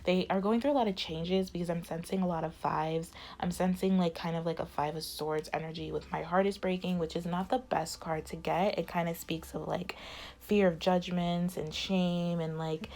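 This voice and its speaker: A woman's voice: on the low side, steady tone, normal speed, darkish tone. A woman giving a casual tarot reading, rambling with many fillers while losing her voice. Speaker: tarot reader.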